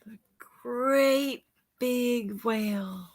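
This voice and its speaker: A woman's voice: on the high side, extremely slow. A woman reading a picture book aloud as a teacher-storyteller, stretching out words and pointing out details to young listeners.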